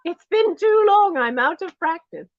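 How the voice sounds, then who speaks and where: Posh British grande dame in diamonds, played by a woman; slow, high, swooping and snooty, calls everyone darling. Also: expressive, no room sound, dull audio, exaggerated voice. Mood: haughty. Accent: put-on posh British. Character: snobbish posh lady in wig and diamonds. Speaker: auction host. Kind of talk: in-character livestream auction.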